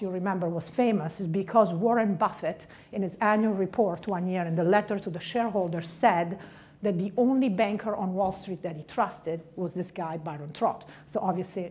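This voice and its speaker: A woman's voice: on the low side, moderate pace, a little mellow. A middle-aged woman from Italy with an Italian accent, giving a direct, punchy motivational career talk. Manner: direct, punchy, stressing key words.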